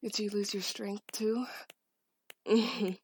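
A woman in a scripted adult audio roleplay, speaking as a dominant partner in a breathy, teasing, commanding whisper. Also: fairly low pitch, steady tone, unhurried, a little mellow.

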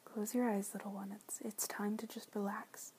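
A woman whispering softly in an ASMR roleplay, soothing and tender, with gentle pet names and quiet repeated reassurances. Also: medium pitch, little pitch movement, normal speed, a little hiss or hum. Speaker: audio roleplay performer.

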